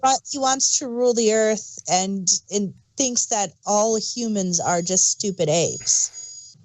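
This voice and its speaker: A woman's voice: mid-range voice, slow pace, a little mellow, no room sound, low-quality recording. A woman co-hosting a review podcast in a casual, conversational style. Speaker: podcast co-host reviewing audio dramas.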